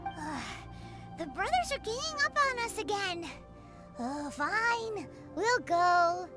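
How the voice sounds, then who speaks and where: A woman voicing a tiny, blunt fairy companion in a video game, with a squeaky, childlike voice. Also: sing-song, slow, heavily acted. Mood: grumbling. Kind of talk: video game character acting. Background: game music.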